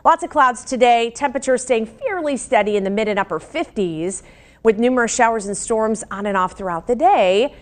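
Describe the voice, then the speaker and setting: An American woman presents live on a television newscast. Her voice sits on the high side, and she talks through forecasts and news items as a broadcast presenter. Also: brisk.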